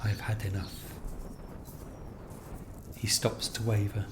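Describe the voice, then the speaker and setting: Low, even-toned man narrating slowly. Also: background noise. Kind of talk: scripted literary narration of location-based stories.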